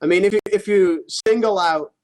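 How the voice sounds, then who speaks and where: A male gaming co-host with a noticeably high-pitched voice. He talks casually and haltingly, doubling back on his words and dropping in curses as he discusses play. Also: darkish tone, poor audio.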